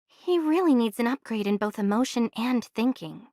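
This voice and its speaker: A woman voicing a practical, inquisitive young female adventurer in an anime game, speaking in a casual, measured way. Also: fairly high pitch, lively intonation, dark tone, no room sound, clean studio sound. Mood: dry, casual. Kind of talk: anime video game voice acting.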